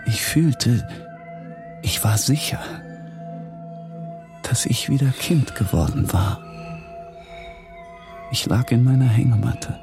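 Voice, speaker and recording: An actor playing a haunted, feverish man who voices morbid inner thoughts in a halting, intimate dramatic monologue. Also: fairly low pitch. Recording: studio monologue over clear music.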